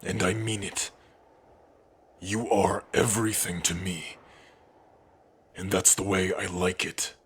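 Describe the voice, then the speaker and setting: A man playing a big, devoted, protective orc husband in an intimate ASMR roleplay, speaking tenderly in a husky, breathy voice with sighs and pauses. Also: low-pitched, steady tone, a little hiss or hum. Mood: loving, protective. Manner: slow, tender, sighing, with pauses.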